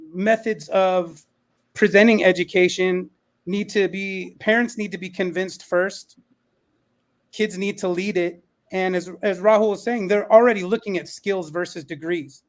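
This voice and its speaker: A man with a notably high-pitched voice speaks as an educator on a panel. He is emphatic and opinionated, building his argument through lists and short repeated phrases. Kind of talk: panel discussion, opinion talk.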